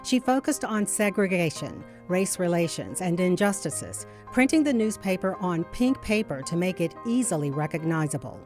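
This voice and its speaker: A woman reading scripted documentary narration in a measured, carefully enunciated way. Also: lowish voice, big pitch swings, darkish tone. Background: music.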